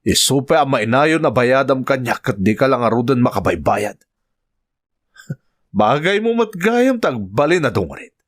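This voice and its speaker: A man's voice: medium pitch, sing-song, a little slow. An actor playing a menacing, mocking captor who bullies his victim in an Ilocano radio drama. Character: menacing, mocking male captor demanding repayment.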